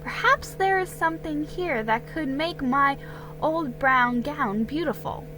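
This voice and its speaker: A woman's voice: high voice, lively intonation, unhurried, music in the background, pitched above the performer's own voice, low-quality recording. A woman voicing a wistful, dutiful young colonial girl, earnest and longing, in a storybook reading. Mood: wistful, hopeful.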